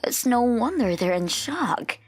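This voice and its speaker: A woman voicing a worried, gently pleading mother in a manga dub. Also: fairly high pitch, sing-song, a little slow, husky, faint music behind, pitched above the performer's own voice, full character voice.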